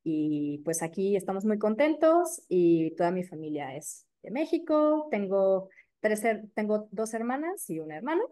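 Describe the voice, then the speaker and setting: A woman in her thirties from Mexico City with a Mexico City accent, a periodontist guest chatting casually and filling pauses with "este" and "eh". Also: medium pitch, big pitch swings. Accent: Mexico City Spanish. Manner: warm, chatty, many fillers like "este" and "eh". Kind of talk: casual interview chat.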